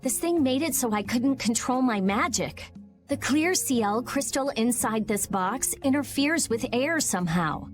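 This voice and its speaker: A woman's voice: a little high, lively intonation, unhurried, soft background music. A woman voicing a prickly teenage girl mage in video game dialogue, curt and blunt with a caring side. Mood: curt, serious.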